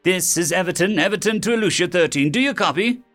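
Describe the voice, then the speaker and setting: A man plays a desperate mission control operator in a space story. His voice sits high and sounds urgent as he calls over the radio. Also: expressive, quick pace, fairly bright tone, soft background music. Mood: desperate urgency.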